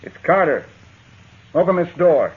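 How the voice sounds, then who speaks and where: A man's voice: fairly high pitch, animated, measured pace, background noise. An actor playing an angry, demanding frontier man, blustering and peremptory, in an old radio western. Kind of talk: 1950s radio western acting. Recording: dull old radio recording.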